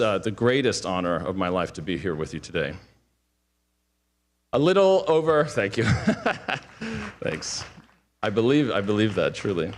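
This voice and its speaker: A man giving an earnest, heartfelt speech at a ceremony. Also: lowish voice.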